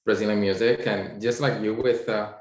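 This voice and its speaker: A man's voice: on the low side, steady tone, normal speed. A man from Spain with a Spanish accent, introducing himself on a video call panel, hesitant and full of fillers. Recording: echoey room.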